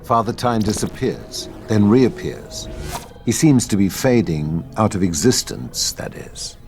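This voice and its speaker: A man narrates a script's scene directions in a scripted reading style. His voice is fairly low, and music plays clearly behind him. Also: expressive, measured pace, darkish tone.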